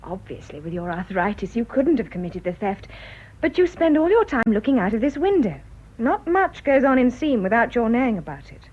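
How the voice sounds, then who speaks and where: High, animated young British woman. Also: brisk, breathy edge. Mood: probing, direct. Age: young adult. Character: earnest, polite, direct young engaged woman. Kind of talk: British radio drama acting. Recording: muffled, noisy radio drama recording.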